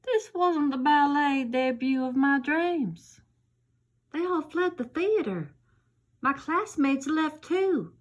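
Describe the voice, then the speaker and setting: A woman's voice: high-pitched, expressive, slow pace, pitched above the performer's own voice. A woman voicing a little zombie ballerina girl, dramatic and eager, in a theatrical children's storybook reading. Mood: wistful, building.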